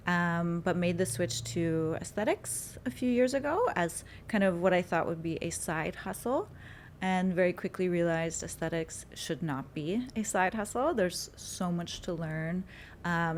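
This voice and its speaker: A woman from Canada talks as a guest doctor, laying out her work steadily with small "um" pauses. Her voice is on the low side. A faint noise sits behind her. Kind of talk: casual interview chat.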